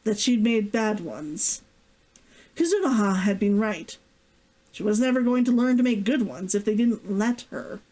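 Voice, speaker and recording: A woman reading a novel aloud as its author, in an expressive audiobook-style narration. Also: medium pitch, unhurried, rough recording.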